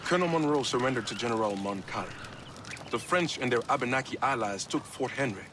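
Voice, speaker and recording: An actor playing a weary soldier ally in a historical video game. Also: male voice, mid-range voice, lively intonation, brisk, noisy background, close to an everyday voice. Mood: worried. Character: weary male soldier ally bearing bad news.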